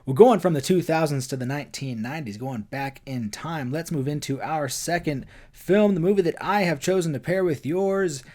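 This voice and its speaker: A man in his late twenties hosting a casual film discussion podcast, speaking in a relaxed, thoughtful way with hesitant fillers. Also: a little high, moderate pace, hardly any pauses. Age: 20s. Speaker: podcast host.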